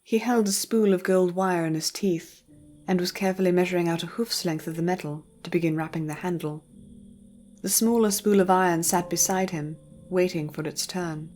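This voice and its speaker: A woman narrating a scripted story in a measured, literary storytelling manner. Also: fairly low pitch, darkish tone, faint music behind.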